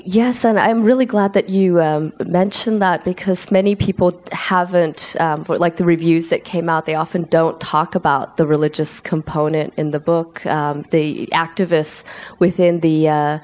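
Thoughtful woman author, halting answers. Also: on the low side, fairly even intonation, a little mellow. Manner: thoughtful, many pauses and restarts. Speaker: author being interviewed. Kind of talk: reflective interview answers. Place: lives in South Korea.

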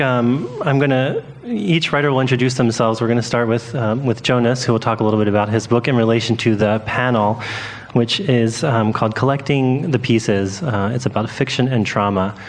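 A man introducing a panel to an audience as moderator, speaking informally and a little haltingly. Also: medium pitch. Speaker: panel moderator, bookshop owner. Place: festival panel venue with audience. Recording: noisy live event room.

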